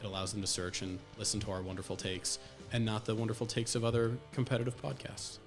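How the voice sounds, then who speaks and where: A man's voice: on the low side, flat delivery, fast, soft background music. A man co-hosting a sports podcast, chatting casually. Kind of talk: casual chat. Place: recorded in person in a shared room.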